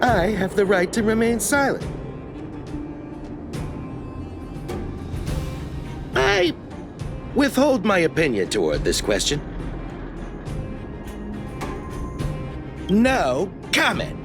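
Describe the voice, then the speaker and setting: A man's voice: high-pitched, sing-song, unhurried, music in the background. A man voicing a shifty, blustering merchant in a video game, theatrical and wheedling, proud of his reputation and easily cowed. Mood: smug, stubborn.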